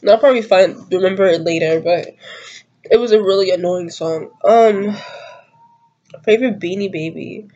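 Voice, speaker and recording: A woman's voice: mid-range voice, normal speed, long pauses, warm tone, lo-fi sound. Giggly congested young woman on webcam. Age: 20s. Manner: chatty, giggly, slangy and rambling. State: congested from a cold. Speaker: YouTuber doing a tag video. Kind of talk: casual chat to camera. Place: small room at home, indoors.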